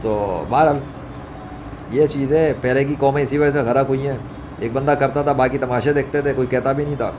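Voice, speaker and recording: A man's voice: medium pitch, steady tone, a little fast. A man delivering an informal religious monologue in an indignant, scolding way, repeating phrases for emphasis. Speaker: religious vlogger. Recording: clearly noisy, ambient background hum. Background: ambient noise, running fan.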